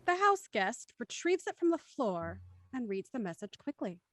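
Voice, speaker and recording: A woman narrating an audio drama in a soft, measured way. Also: on the high side, big pitch swings, no echo.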